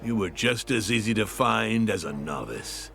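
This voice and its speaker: An actor playing a brusque, gruff hunter in a fantasy video game, blunt and wry. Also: male voice, lowish voice, very animated, moderate pace, a bit bright, soft background music, exaggerated voice. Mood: impatient, wry. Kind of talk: video game cutscene acting.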